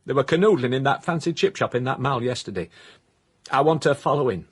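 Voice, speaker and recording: An actor playing a flustered, bereft middle-aged husband with a South Yorkshire accent, hesitant and awkward, in radio comedy drama. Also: male voice, medium pitch, a little fast, husky, natural voice. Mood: upset, bereft.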